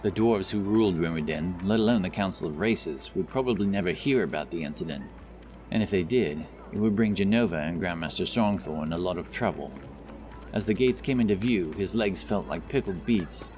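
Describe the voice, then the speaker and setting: A man's voice: sits low, darkish tone. A man reading scripted fantasy narration as an audiobook narrator, with background music behind him. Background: background music and effects.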